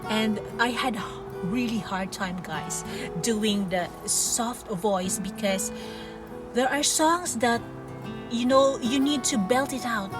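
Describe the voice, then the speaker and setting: Slow-talking Filipino woman vlogger. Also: mid-range voice. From Philippines. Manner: chatty, addresses viewers as "guys", many "you know" asides. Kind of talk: personal storytelling to camera. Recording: distant mic with clear music behind.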